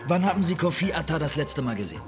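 A man's voice: on the high side, sing-song, quick pace, over music. A man playing a keen, informal investigator in a naturalistic radio drama, talking eagerly with hesitant restarts. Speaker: actor in a radio play.

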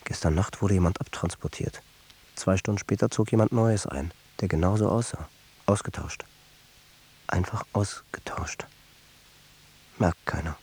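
A man's voice: sits low, unhurried, long pauses, darkish tone. A man acting in a radio drama as a lost, panicking businessman who questions himself in anxious fragments. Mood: hushed paranoia. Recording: music behind the voice.

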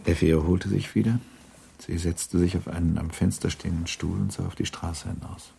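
A man narrating a literary radio play in a grave, measured, restrained manner. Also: low-pitched, flat delivery, gravelly, warm tone, a little hiss or hum. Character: grave, restrained narrator of a 19th-century novel.

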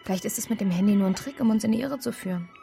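A woman playing a sharp, inquisitive police detective in a naturalistic radio drama, dry and questioning in manner. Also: mid-range voice, rapid-fire, vocal fry, faint music behind, natural voice. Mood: brisk, questioning.

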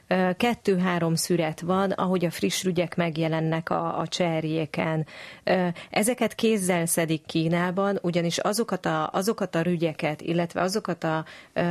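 A woman's voice: on the low side, a little mellow. A woman speaking as an expert guest in an interview, explaining things in detail with frequent hesitations.

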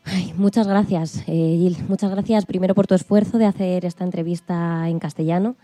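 A woman's voice: on the low side, steady tone, fairly quick, mellow, no room sound. A woman hosting a radio programme, putting scripted interview questions to a guest.

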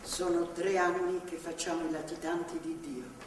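A steadfast, devout older woman, acted by a woman in a theatre play, soothing and motherly yet firm. Her voice is on the low side and earnest. She is heard at a distance in a strongly reverberant hall with clear background noise. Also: level tone, moderate pace, close to an everyday voice. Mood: calm, devout. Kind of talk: theatrical stage drama acting. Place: theatre stage, far microphone.

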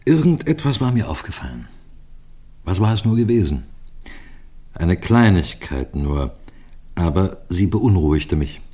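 An actor playing a seasoned police detective in a radio crime drama, measured, courteous and building suspense as he tells his story. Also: low-pitched, mellow. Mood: tense storytelling. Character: seasoned, composed male detective superintendent telling his story.

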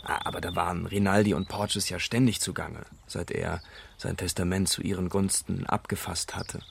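A man playing a calm, reflective young Englishman giving a witness account in a German radio crime drama. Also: deep voice, normal speed, creaky, breathy edge, exaggerated voice. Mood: reflective, measured. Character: young Englishman, dying uncle's observant great-nephew, calmly forthcoming.

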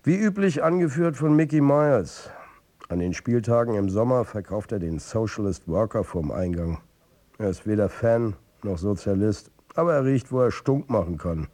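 A man's voice: lowish voice, animated, normal speed, warm tone. An actor playing a troubled football coach and family man, narrating his own story in a confiding way in a radio drama. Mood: wry. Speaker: narrator and lead character.

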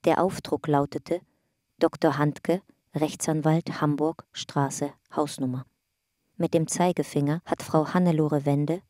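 A woman's voice: deep voice, steady tone, unhurried, dark tone, no echo, studio quality. A woman narrating a radio drama, reading photo captions and files in a clipped, matter-of-fact, precise manner. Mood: factual, precise.

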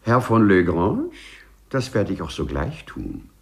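A courteous, eccentric prisoner in 1950s radio drama style. His fairly low voice is extremely animated, precise and formal, yet full of rapt, serene pride. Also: measured pace, creaky, full character voice. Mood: rapt, triumphant. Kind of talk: 1950s German radio play acting.

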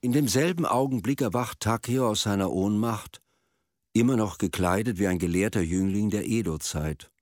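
A man reading scripted narration for a radio drama in a measured storyteller's manner. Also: lowish voice, darkish tone, dry recording, studio quality. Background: plucked string music.

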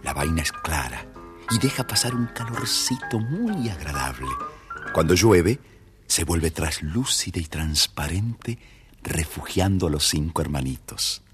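Deep, expressive man telling a children's story. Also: measured pace, over music. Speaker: storyteller narrator. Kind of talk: scripted children's story narration.

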